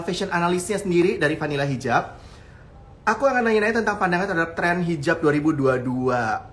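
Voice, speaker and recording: A man's voice: fairly high pitch, a bit bright, a little hiss or hum. A man hosting a casual live-stream chat as a fashion and beauty editor, talking in an upbeat, chatty way and stretching his phrases with fillers. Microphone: video call.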